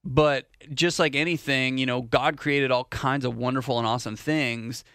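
A man's voice: medium pitch, fairly even intonation, normal speed, a bit bright, clear, resonant tone, no echo, clean studio sound. Upbeat male pastor hosting a podcast. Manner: upbeat, chatty, laughs easily. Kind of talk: casual faith discussion podcast.

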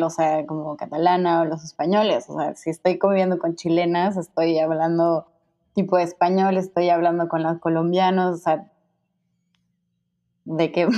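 Young Mexican woman, guest in casual chat, low-pitched, warm tone, meandering and filler-heavy. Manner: rambling, many fillers and restarts, laughs easily. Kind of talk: casual conversation.

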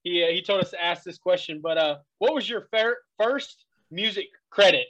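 A man's voice: sits high, moderate pace, fairly bright tone, lo-fi sound. A man co-hosting a casual podcast, enthusiastic and stammering through repeated words. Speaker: podcast co-host.

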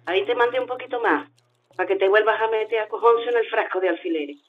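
A woman's voice: medium pitch, fast talker, phone-quality sound, natural voice. A woman playing a breezy, cheeky married lady of leisure in a radio soap opera. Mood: sly, familiar.

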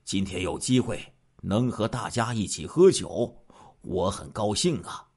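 A man's voice: on the low side, expressive, a little slow, creaky, a little mellow, slightly rough, voice pushed down, full character voice. A man voicing a hospitable, genial township chief in a story, coaxing in a colloquial way. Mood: humble, genial.

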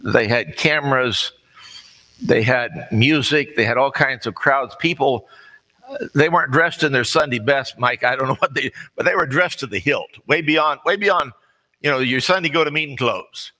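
American man, preacher, bright forceful voice, folksy plain-spoken delivery, deliberate pauses, long hall reverb. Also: medium pitch. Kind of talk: sermon with conversational storytelling. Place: large reverberant room, preaching to a congregation.

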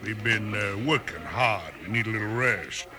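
An actor playing a tough, vengeful American outlaw gang leader in an old-time radio western, curt, commanding and menacing. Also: male voice, lowish voice, expressive, normal speed, natural voice. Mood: sly, vengeful. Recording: very noisy old radio recording with clicks.

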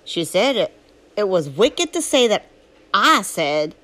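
A woman plays a restless, plainspoken country boy telling his own story from a storybook. The accent is Southern US, folksy and drawling, and the pitch swings freely from phrase to phrase. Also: big pitch swings, slow pace, fairly bright tone. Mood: matter-of-fact, defiant. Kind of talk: first-person storybook narration.

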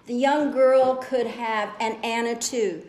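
Slow, earnest woman preaching in echoey church. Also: on the high side, fairly even intonation, darkish tone. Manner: earnest, exhorting with repeated phrases. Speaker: lay preacher. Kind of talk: church message. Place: church sanctuary.

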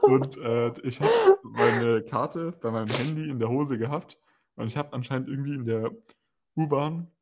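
A man's voice: mid-range voice, moderate pace, darkish tone. A young man co-hosting a podcast, chatting casually in an informal, slangy way. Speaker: podcast co-host. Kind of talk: casual chat.

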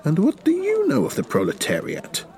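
A man voicing a menacing, glaring revolutionary leader in a story reading, accusing and forceful in manner. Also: high-pitched, huge pitch swings, normal speed, vocal fry, voice pushed up, full character voice. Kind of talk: dramatic short story reading.

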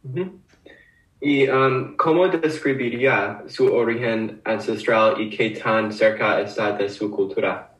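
Male co-host, slow and hesitant, distant mic with roomy echo. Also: medium pitch, steady tone, a bit bright. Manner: hesitant, learner-like Spanish with fillers. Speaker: podcast co-host. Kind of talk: scripted interview questions.

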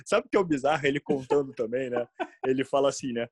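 A man, chatting casually as a podcast co-host, quick to agree and jump in. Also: mid-range voice, husky, no room sound, studio quality. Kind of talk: casual chat.

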